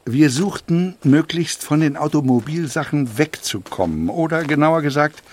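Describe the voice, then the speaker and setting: An earnest captain of industry, acted by a man for an audio documentary. The voice sits a bit high and has a husky, rough grain, as if setting down his reasoning for the record. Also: expressive, moderate pace, a little mellow. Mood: earnest, reflective.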